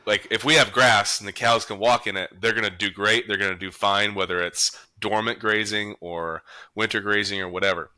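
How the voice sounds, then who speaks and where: Bright, forceful American man, podcast host. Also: fairly low pitch, fairly even intonation, brisk, no room sound, rough recording. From South Dakota, US. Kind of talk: casual chat.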